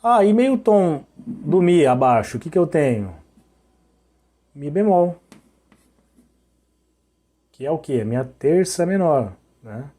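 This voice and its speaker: Hoarse man teaching music intervals. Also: a little high, very animated, slow pace, pauses often, dark tone. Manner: sings interval notes to show them; checks in often with short questions. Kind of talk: sung and spoken music lesson.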